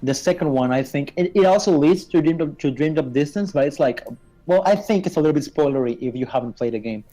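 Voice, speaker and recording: A man's voice: a little high, lively intonation, fast, mellow, rough recording. A man casually explaining game lore as a guest, stammering and repeating words as he goes. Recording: faint music behind the voice.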